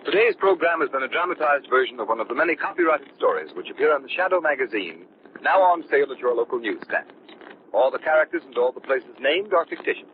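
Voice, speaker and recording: A man's voice: medium pitch, lively intonation, quick pace. A man announcing in the scripted style of a 1930s radio programme. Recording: tinny, hissy 1930s radio broadcast.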